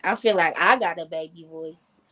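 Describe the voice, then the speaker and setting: A woman's voice: on the low side, moderate pace, bright, forceful tone. A woman co-hosting a casual chat, playful and teasing, laughing often.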